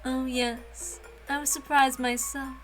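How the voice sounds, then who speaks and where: A woman's voice: high voice, flat delivery, takes it slowly, a bit bright, soft background music. A woman voicing a coolly menacing ancient witch in girl's form, dry and taunting, in an amateur visual novel reading. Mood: coolly amused.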